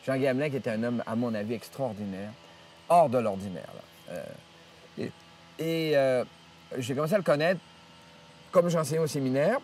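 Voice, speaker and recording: A man, a priest telling stories from his life in an interview, rambling with many hesitations and restarts and lively retelling of what others said. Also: mid-range voice, normal speed, long pauses, recorded from a distance, slight background noise. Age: 70s. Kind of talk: storytelling interview. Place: outdoors in nature.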